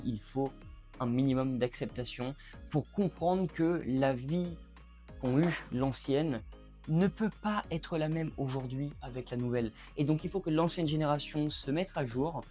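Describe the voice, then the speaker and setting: Man, late twenties, YouTube explainer, mid-pitched and reflective, faint music bed. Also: a little mellow. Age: late 20s. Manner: reflective, addresses viewer directly. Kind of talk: casual explainer at a whiteboard.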